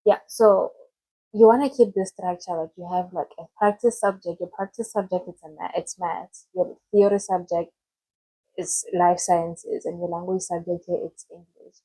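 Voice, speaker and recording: A young woman talks through a study plan in a loose, chatty way, often doubling back on her words. Her voice sits low for a woman, mellow and a little husky. She speaks straight to her own camera from home. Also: fairly even intonation, normal speed, low-quality recording. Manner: chatty, loose and self-correcting, with frequent restarts. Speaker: student vlogger giving study tips. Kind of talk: casual explanatory tutorial.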